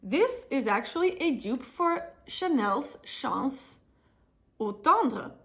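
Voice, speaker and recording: A woman's voice: high voice, very animated, slow pace. A woman with a cold and a slightly nasal, blocked-up voice, chatting casually through a perfume review with lots of fillers and restarts. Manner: chatty, many fillers and self-corrections. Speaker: fragrance vlogger.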